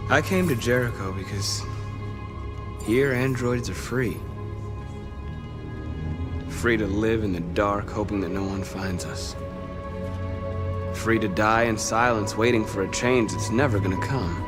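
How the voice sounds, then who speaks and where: A man's voice: lowish voice, a little slow, pauses often, lightly acted. A man playing a defiant android rebel leader giving an oratorical speech in a video game. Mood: solemn resolve. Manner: oratorical, building from hushed to forceful. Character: defiant male android rebel leader rallying his people. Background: dramatic music.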